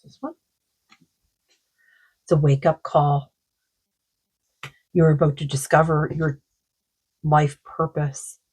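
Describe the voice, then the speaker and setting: Lady doing a card reading, kinda hoarse and losing her voice, lots of likes and you knows. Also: low voice, little pitch movement, darkish tone, low-quality recording. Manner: rambling, many fillers, reads card texts aloud slowly. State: sore throat, losing her voice. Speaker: oracle card reader. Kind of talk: casual card reading monologue.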